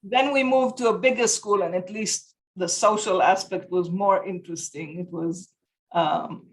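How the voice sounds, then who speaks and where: A middle-aged woman with an Israeli accent talks at an unhurried pace, often pausing to find the right word. Her voice sits mid-range, heard over a laptop mic on a video call from a room at home. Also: medium pitch, poor audio. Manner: thoughtful, searches for words, laughs easily. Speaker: guest. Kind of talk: casual interview.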